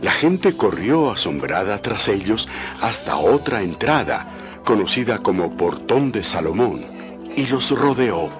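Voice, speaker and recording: A man narrating a dramatized Bible story in an expressive storytelling manner. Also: lowish voice, unhurried, music in the background.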